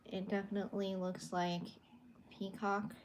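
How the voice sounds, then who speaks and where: A young woman from California, an artist casually chatting on a livestream from her bedroom as she shows her paintings and answers viewer comments. She is soft-spoken and a little awkward. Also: mid-range voice, little pitch movement, a little slow, a little hiss or hum.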